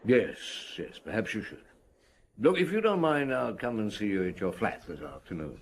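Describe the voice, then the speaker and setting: A calm, shrewd middle-aged detective in a radio drama. His voice sits low and moves freely up and down as he probes with measured questions and thoughtful murmurs. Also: a little hiss or hum. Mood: probing, polite. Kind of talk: naturalistic radio drama acting.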